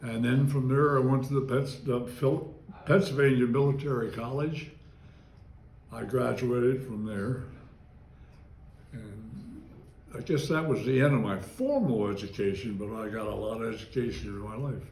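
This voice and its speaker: A man's voice: mid-range voice, moderate pace, darkish tone, roomy sound, slight background noise. Rambling man in his nineties. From Maryland, US. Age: 90s. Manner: rambling, digressive, chuckles while recalling. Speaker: interviewee. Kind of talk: oral history reminiscence.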